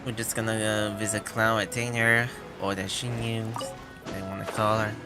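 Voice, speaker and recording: A man livestreaming a game with casual commentary, hesitant and halting, mumbling at times as he gathers his words. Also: fairly low pitch, level tone, over music.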